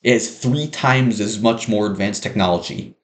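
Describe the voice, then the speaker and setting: Young male student speaker, slightly low pitch, earnest persuasive delivery, echoing room sound. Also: moderate pace. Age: young adult. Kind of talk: prepared persuasive speech.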